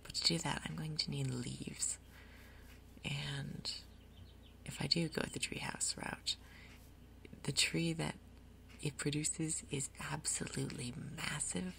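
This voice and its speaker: Female ASMR gaming host: hushed half-whisper, heavy vocal fry, breathy, relaxed pacing of murmured asides. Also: sits low, slow, long pauses. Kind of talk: soft-spoken ASMR game commentary.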